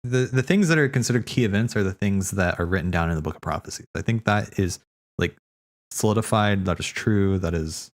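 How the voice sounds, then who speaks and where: Male streamer, lower register, loose off-the-cuff commentary, halting and repetitive as he works through ideas. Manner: hesitant, repeating words as he thinks aloud. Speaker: streamer hosting a watch party. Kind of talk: casual rambling commentary, replying to chat.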